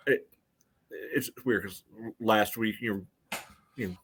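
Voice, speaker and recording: A man's voice: on the low side, slow, a bit bright, a little hiss or hum. A man co-hosting a sports podcast, casually breaking down a soccer match in a conversational, qualifying way.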